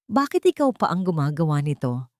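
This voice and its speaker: A woman's voice: sits low, big pitch swings, a little fast, darkish tone, no echo, clean studio sound. A woman voicing a worried, earnest adult son and husband in an audio family drama, with a protective manner. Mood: concerned.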